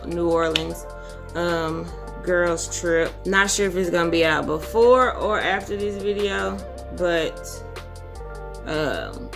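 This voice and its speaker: Young woman, hoarse and husky, relaxed chatty vlogger, clear music bed. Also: fairly low pitch. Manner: relaxed, chatty, says y'all and um often. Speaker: beauty vlogger. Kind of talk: casual storytelling chit chat.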